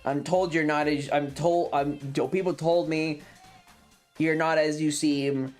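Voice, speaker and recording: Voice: medium pitch, measured pace. A streamer telling a story to chat in a casual, sweary, sardonic way, acting out the dialogue as they go. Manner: sweary, sardonic, animated retelling with acted-out dialogue. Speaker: streamer hosting a variety game stream. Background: video game music.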